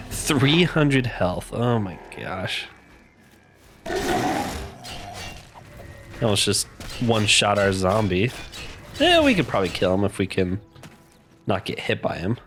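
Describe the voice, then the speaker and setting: A man's voice: medium pitch, fairly bright tone. A congested man giving easygoing, chatty commentary while playing a video game. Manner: easygoing, chatty, quick laughs. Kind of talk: casual let's-play commentary.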